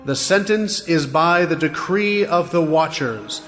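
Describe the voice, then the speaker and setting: Stern angelic watcher, highish voice. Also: male voice, a little slow, soft background music. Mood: stern proclamation. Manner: forceful, solemn, proclaiming. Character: stern heavenly watcher angel proclaiming divine judgment. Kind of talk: dramatized audio scripture acting.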